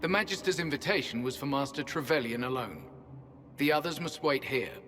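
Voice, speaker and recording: An actor playing a formal castle herald in a fantasy video game, officious and ceremonious. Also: male voice, medium pitch, lively intonation, a little fast, a bit bright, a little hiss or hum, natural voice. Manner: ceremonious, announcing. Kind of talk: dramatic video game dialogue. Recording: reverberant hall, music behind.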